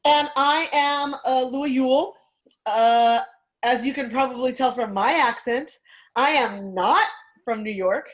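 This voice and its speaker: A woman from California, a law professor, chatting on a video call in a lively, quick way. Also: high-pitched. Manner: lively, quick, laughing asides. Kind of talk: casual talk on a video call.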